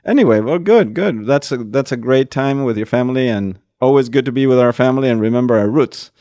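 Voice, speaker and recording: A man's voice: medium pitch, a little fast, mellow, no room sound, studio quality. A man hosting a conversational interview, often checking in with short tag questions. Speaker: podcast host.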